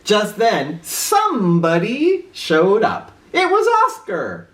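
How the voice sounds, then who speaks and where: A woman reading a children's story aloud in a theatrical, expressive way, with an informal touch. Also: medium pitch, slow pace. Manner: theatrical, with informal asides to listeners.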